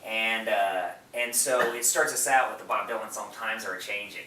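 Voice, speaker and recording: A man's voice: fairly low pitch, moderate pace, bright, forceful tone. A man in a casual film discussion, speaking with gushing enthusiasm as a podcast co-host. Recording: roomy, distant mic, background noise.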